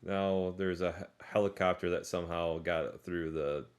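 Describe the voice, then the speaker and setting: A man co-hosting a podcast, chatting casually in an informal, halting, jokey way. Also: sits low, fairly even intonation, clear, resonant tone. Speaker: podcast co-host. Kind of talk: casual chat about movies.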